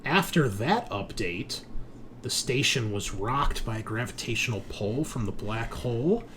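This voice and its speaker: A man's voice: mid-range voice, animated, measured pace, noisy background. A man working as game master, recapping a tabletop game session in an informal, conversational storytelling way.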